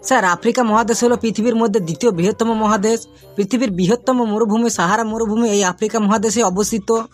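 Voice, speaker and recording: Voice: medium pitch, fairly even intonation, fast, faint music behind, natural voice. A performer voicing a cocky, mischievous schoolboy in a comic cartoon, boastful and recitational, with a Bengali accent. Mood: confident reciting.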